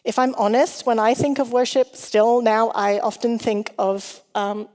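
A woman's voice: fairly high pitch, fairly even intonation, measured pace, darkish tone. A middle-aged woman with a mixed, shifting international accent, preaching conversationally with chatty, self-deprecating humour. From Hong Kong. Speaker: guest preacher. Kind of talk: conversational sermon to a congregation. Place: large reverberant hall. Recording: long hall reverb.

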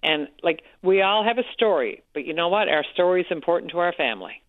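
Chatty newfoundland lady, loud voice, phoned in, thin tinny sound. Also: fairly low pitch, expressive, fast, no room sound. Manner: frank, talkative storyteller. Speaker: caller, support group organizer. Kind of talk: radio call-in chat. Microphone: telephone.

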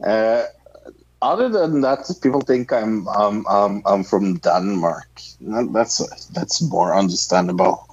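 A man chatting casually and haltingly, searching for words, on a dull lo-fi mic. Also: lowish voice, a little mellow, slightly rough, no echo. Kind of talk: casual chat.

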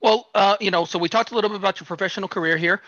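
A man's voice: high voice, racing, pushed and bright, no room sound. A man hosting a podcast, asking questions in a friendly, lightly teasing way. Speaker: podcast host. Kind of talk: casual interview questions. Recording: dry, dull lo-fi sound.

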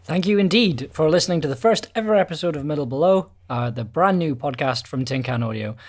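A man's voice: a little high, animated, fairly quick. A man signing off as the podcast's creator and host, earnest and grateful, now and then stumbling over his words. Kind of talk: scripted outro thanks.

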